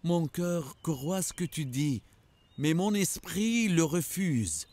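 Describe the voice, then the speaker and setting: Slow, high-voiced devout townsman. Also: expressive, a little mellow. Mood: troubled, doubting. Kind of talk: animated religious drama acting.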